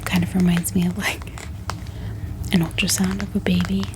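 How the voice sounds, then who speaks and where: An American woman speaking in a soft, gentle ASMR style as she presents books in a calming, unhurried manner. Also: fairly low pitch, background noise. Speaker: ASMR book reviewer and host. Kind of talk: soft-spoken whispered ASMR haul.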